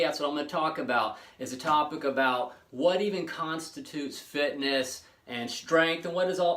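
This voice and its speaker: A man in his late forties hosting his own fitness channel, talking casually and rambling with many pauses and restarts. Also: fairly high pitch, fairly bright tone, echoey room. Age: 40s. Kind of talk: casual monologue to camera.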